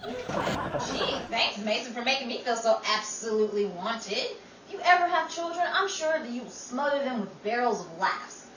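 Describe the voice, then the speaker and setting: A woman's voice: fairly high pitch, expressive, normal speed, close to an everyday voice. A woman playing a quippy, sarcastic young New York woman in a 1930s radio comedy, bantering in wordplay. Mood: wry. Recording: roomy, distant mic, noisy live audience room.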